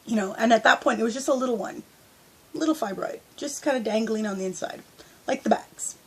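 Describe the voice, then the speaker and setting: A woman's voice: fairly high pitch, a little fast, a little mellow, husky. A young woman vlogging from her bed on a handheld phone, talking candidly with lots of fillers, stuffy from a head cold. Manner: chatty and candid, with frequent fillers. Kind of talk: casual storytime vlog. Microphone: handheld phone at arm's length.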